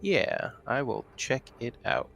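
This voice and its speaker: A man's voice: mid-range voice, wildly swooping pitch, slow, gravelly, fairly bright tone, slight background noise. A man speaking casually as a player in a tabletop role-playing game. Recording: music playing behind the voice.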